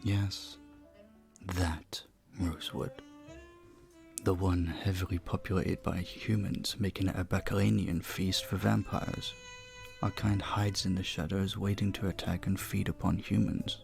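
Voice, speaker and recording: Charming vampire bartender for audio roleplay male actor: deep-set pitch, some fry, polite and intimate, a touch formal. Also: level tone, a little slow, soft background music. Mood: grave. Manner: courteous, soft-spoken, slightly formal, teasing. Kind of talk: audio roleplay, intimate one-sided dialogue.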